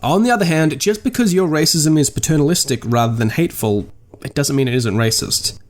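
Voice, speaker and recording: A man's voice: fairly high pitch, fairly quick. A man narrating a scripted video essay as a podcast host, with dry, wry understatement and articulate, formal wording.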